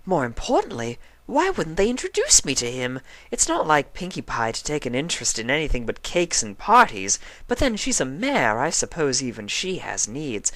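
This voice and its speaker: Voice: medium pitch, wildly swooping pitch, normal speed, hardly any pauses, fairly bright tone, dry recording, pitched above the performer's own voice. A performer voicing a refined, fretful, haughty female cartoon unicorn in a prim, dramatic, affected manner. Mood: suspicious, determined.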